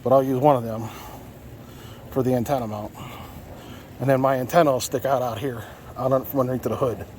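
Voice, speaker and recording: A man's voice: medium pitch, steady tone, a little fast, pauses often, darkish tone. An American man giving a casual, unscripted walkthrough in a folksy, rambling way, often repeating himself. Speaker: vlogger showing his truck radio install. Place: outdoors in and around a parked truck. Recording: clear background noise, handheld outdoor video.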